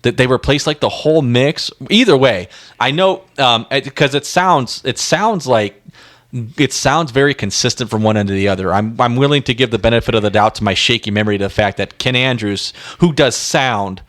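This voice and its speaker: A man's voice: medium pitch, normal speed, fairly bright tone. A man co-hosting a music podcast, chatting casually in a blunt, rambling, slangy way. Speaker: podcast co-host.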